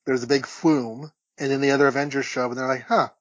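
A man's voice: medium pitch, little pitch movement, fast. A man co-hosting a podcast, casually recapping and discussing with enthusiastic, rambling asides. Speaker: podcast co-host. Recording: dull, lo-fi audio, slightly roomy.

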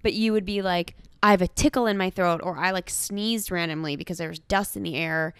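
A woman co-hosting a podcast, talking frankly and casually, with quick asides. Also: on the low side, steady tone, smooth, no room sound, studio quality. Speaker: podcast co-host.

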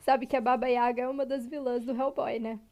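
A woman's voice: a little high, little pitch movement, a little fast, darkish tone. A woman playing in a tabletop game, playful and giggly in casual banter. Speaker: player.

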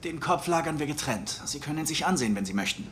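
A matter-of-fact morgue attendant in a TV mystery. His fairly high voice moves around a lot, a little evasive. Faint noise sits behind it. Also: animated, fast, fairly bright tone. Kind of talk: dubbed TV mystery drama.

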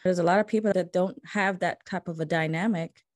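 A woman's voice: on the low side, fairly even intonation, a little fast, dry recording, clean studio sound. Upbeat woman hosting a podcast. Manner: upbeat, encouraging interviewer. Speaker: podcast host.

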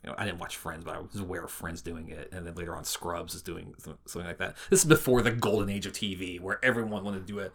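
A man co-hosting a podcast in casual conversation, rephrasing himself haltingly as he goes. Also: low-pitched, fairly even intonation, creaky, fairly bright tone. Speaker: podcast co-host. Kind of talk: casual chat.

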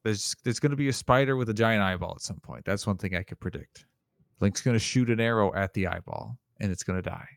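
A man's voice: on the low side, a little fast, vocal fry, no echo, studio quality. A man co-hosting a casual podcast, talking enthusiastically and rambling, often restarting sentences. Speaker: podcast co-host. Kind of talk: casual chat.

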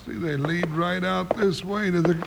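A very high-pitched, excitable cowboy deputy in an old radio western. He is folksy and exclamatory, with an American accent, over clear recording noise. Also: normal speed. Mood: puzzled. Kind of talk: 1950s radio western acting.

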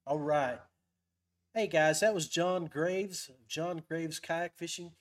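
Higher-voiced man hosting a folksy chat. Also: takes it slowly, slight background noise. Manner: folksy, chummy. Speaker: podcast host. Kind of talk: casual live-stream chat.

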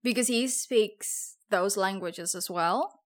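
A woman's voice: mid-range voice, slow. Woman, Javanese speaker, chatting casually. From Java, Indonesia. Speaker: podcast host. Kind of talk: casual conversational podcast chat.

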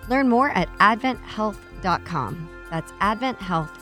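A woman's voice: medium pitch, animated, a little slow, music in the background. A woman hosting a chamber of commerce podcast, upbeat and polished, reading sponsor copy and interviewing a guest. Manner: upbeat, polished, with friendly affirmations. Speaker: podcast host.

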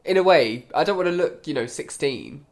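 Man, hoarse croaky voice, easygoing podcast co-host, casual chat. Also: a little high, animated, normal speed. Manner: easygoing, jokey.

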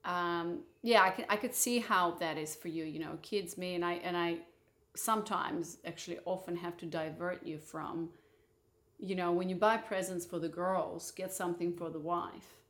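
A woman's voice: fairly low pitch, steady tone, normal speed, fairly bright tone. A woman from Moldova hosting a casual couple's chat, frank and opinionated about relationships. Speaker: podcast host.

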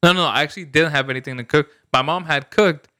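A man's voice: on the high side, quick pace, pushed and bright, smooth, no echo, studio quality. A man from El Salvador telling a story in casual podcast chat, laughing often and using slang. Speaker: podcast co-host.

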